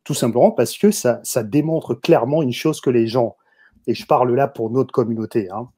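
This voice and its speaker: A man with a Parisian accent speaks as a guest in a heated discussion. His voice is soft-toned and a little husky, and he hammers his points home as if lecturing the audience directly. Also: medium pitch, normal speed. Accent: Parisian French. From France. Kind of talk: opinionated discussion commentary.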